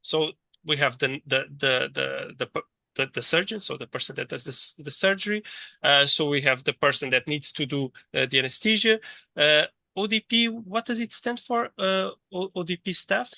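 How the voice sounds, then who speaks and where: Bright, dry-voiced man lecturing, thinking aloud. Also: fairly high pitch, normal speed, creaky, no room sound. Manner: hesitant, thinking aloud with repeated words. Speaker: course lecturer. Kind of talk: interactive online teaching, reading chat replies.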